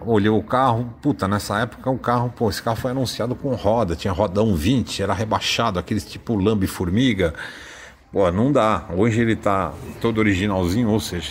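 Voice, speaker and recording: Chatty Brazilian man, lowish voice. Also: a little hiss or hum. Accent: Brazilian Portuguese. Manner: chatty, informal, thinks aloud with fillers. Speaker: car channel host. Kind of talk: casual screen-share walkthrough.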